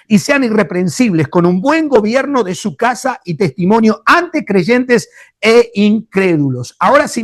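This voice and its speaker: A man's voice: sits high, no echo, clean studio sound. A man with a Rioplatense Spanish accent, a pastor giving an emphatic Bible teaching sermon with frequent hesitations. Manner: emphatic, addresses listeners fondly, frequent hesitations.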